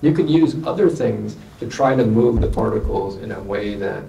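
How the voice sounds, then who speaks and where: Gravelly, dark-voiced man in an echoey room. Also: lowish voice, expressive, normal speed, far from the mic, slight background noise. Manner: explanatory, uses analogies. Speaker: panelist scientist. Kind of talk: answering audience questions. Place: lecture room with audience.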